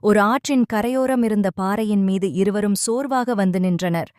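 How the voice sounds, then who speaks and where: A woman narrating a children's cartoon story in a calm, steady storytelling manner. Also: medium pitch, normal speed, no room sound, clean studio sound.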